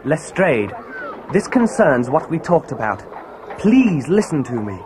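An actor playing a keen, confident schoolboy detective in a read-along audio drama. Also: male voice, on the high side, very animated, unhurried, background noise. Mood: earnest, determined.

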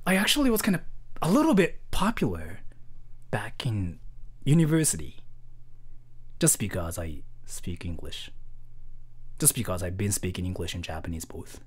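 A young man chatting casually on a livestream in a small bedroom, swinging from loud to muttering. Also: fairly high pitch, breathy edge. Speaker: streamer.

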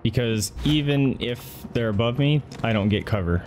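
A man's voice: medium pitch, moderate pace, darkish tone, slight background noise. A man doing casual live gameplay commentary, reacting and swearing as he talks to himself and his viewers. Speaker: game streamer. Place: indoors, streaming setup at a computer. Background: game music.

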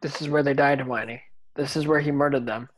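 A man's voice: mid-range voice, fairly even intonation, brisk, slight background noise, close to an everyday voice, rough recording. A young man reading an earnest, determined teenage boy wizard in an amateur table read, understated and subdued. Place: indoors on a group video call. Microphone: video call.

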